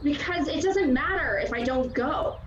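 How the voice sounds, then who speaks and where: An indignant grown daughter played by a woman with a high, swooping voice. She is sullen and reproachful, acting out a dramatic scene. The sound is roomy and a little dull. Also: animated, normal speed, background noise. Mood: sullen, upset. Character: exasperated, indignant adult daughter of retired heroine. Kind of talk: dramatic fan-made audio acting. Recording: roomy, dull home call audio.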